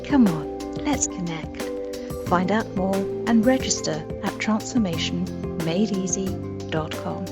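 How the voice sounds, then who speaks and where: A woman's voice: fairly low pitch, a little slow. A woman announcer giving an upbeat, inviting scripted promo read. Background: music.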